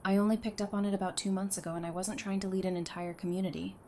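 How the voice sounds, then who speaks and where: A woman's voice: mid-range voice, little pitch movement, rapid-fire, dark tone, lightly acted. A woman acting a caring, blunt wife and mother with casual, wry banter in an audio drama. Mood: reassuring. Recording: noticeable background noise.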